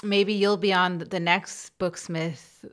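A woman hosts a podcast conversation, stuttering now and then on the first sounds of words. Her voice sits low for a woman and comes across smooth and clear. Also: steady tone, slow, fairly bright tone. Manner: stutters, with repeated sounds and blocks. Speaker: podcast host. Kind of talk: podcast interview.

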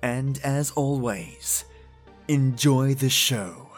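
A man's voice: medium pitch, extremely slow. A man hosting a storytelling podcast, reading a scripted, spooky introduction to listeners. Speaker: podcast host. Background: music.

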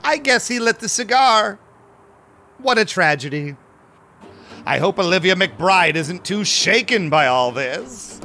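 A man's voice: high voice, very animated, a little slow, bright, forceful tone, a little hiss or hum, exaggerated voice. An actor playing a shady, smug vampire businessman who narrates his audio drama with sly, mock-polite theatricality. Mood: gloating. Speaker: narrating lead character.

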